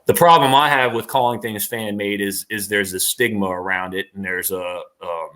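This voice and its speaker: A middle-aged man with a lowish pitch and a bright, forceful edge to his voice. He talks casually, as a guest in a livestream interview. He is emphatic and tends to repeat a point to press it home. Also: fairly even intonation, normal speed. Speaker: interview guest, actor and former professional wrestler.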